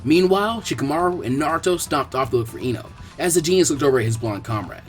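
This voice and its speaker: Higher-pitched man narrating a story. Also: animated, fast talker, faint music behind. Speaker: fan fiction narrator.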